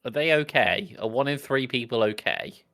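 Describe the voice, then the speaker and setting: A man's voice: mid-range voice, normal speed, pushed and bright. A man co-hosting a podcast in casual, playful, joking conversation. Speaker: podcast co-host. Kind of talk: casual comedic chat.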